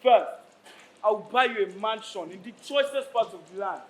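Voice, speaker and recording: A man's voice: high-pitched, expressive, moderate pace, fairly bright tone. A man with a Nigerian accent acting a proud, troubled Yoruba king in a declamatory stage style. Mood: proud, generous. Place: stage of a live play. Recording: noisy live stage recording.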